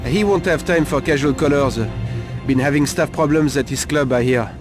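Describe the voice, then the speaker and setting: An actor playing a sleazy, nervous Paris street informant in a video game cutscene, talking in a halting, insinuating way. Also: male voice, on the high side, music in the background, lightly acted. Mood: guarded.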